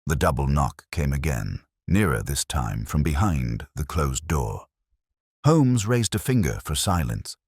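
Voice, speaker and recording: Extremely deep husky male mystery narrator. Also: sing-song, a little mellow, no echo, studio quality. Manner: literary, measured, atmospheric. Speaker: audiobook narrator. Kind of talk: scripted dramatic mystery narration.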